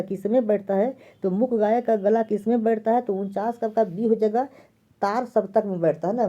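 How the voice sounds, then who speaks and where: Woman exam tutor, very dark voice, muffled lo-fi audio, goes through answers and repeats each one. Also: mid-range voice. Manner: repeats each question and answer several times. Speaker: exam prep teacher. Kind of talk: answer key walkthrough.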